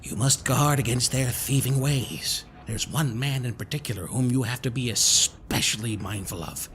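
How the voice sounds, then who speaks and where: A man playing a smooth-talking, mock-polite con man villain in an audio drama, wordy and falsely kindly. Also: medium pitch, normal speed, a bit bright, a little hiss or hum, close to an everyday voice. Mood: grandly persuasive. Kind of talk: scripted audio drama acting.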